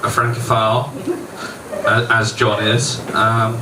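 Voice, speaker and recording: Slow, halting man in a noisy echoey venue. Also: lowish voice, completely flat delivery, far from the mic. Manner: halting, pausing delivery. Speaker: MC introducing a guest author. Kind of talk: informal live introduction. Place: noisy bar venue, voice heard from afar. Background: loud venue ambience.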